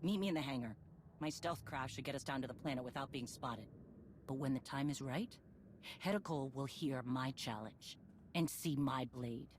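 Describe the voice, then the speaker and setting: A woman's voice: extremely low pitch, moderate pace. An actress playing a stern, determined female warrior leader and former bounty hunter in a game cutscene, speaking in terse commands. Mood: grave, resolute. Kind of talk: scripted game cutscene acting. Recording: clear background noise and ambience.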